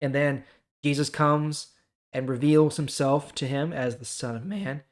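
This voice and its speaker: A man's voice: on the high side, measured pace. A man hosting a Bible reading, explaining scripture informally and checking in with the listener.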